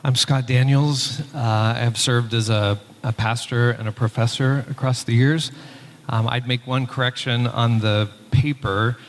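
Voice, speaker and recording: A man at a conference podium presents a theology paper in a relaxed, unhurried way, often pausing on "uh". His voice sits in a middle range and carries a long reverb from a big hall. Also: medium pitch, a little hiss or hum. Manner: relaxed, speaks with frequent "uh" fillers. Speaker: pastor and church superintendent presenting a paper.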